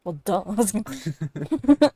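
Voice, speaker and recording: A woman's voice: mid-range voice, big pitch swings, slow, warm tone, husky. A woman reacting to a video game in an informal, slangy way. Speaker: gamer reacting to a playthrough. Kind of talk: casual live reaction. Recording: game music behind the commentary.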